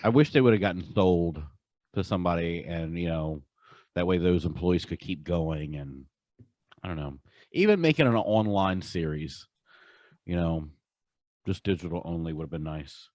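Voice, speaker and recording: A man's voice: deep voice, expressive, moderate pace, darkish tone, no room sound. A man hosting a podcast, talking in casual conversational chat. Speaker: podcast host.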